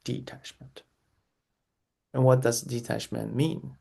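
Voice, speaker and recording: Guest voice: man, very gravelly and husky, earnest and reflective, circles back and repeats himself. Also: mid-range voice, little pitch movement, takes it slowly, mellow. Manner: earnest, repeats key phrases, frequent restarts. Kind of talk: reflective interview answers.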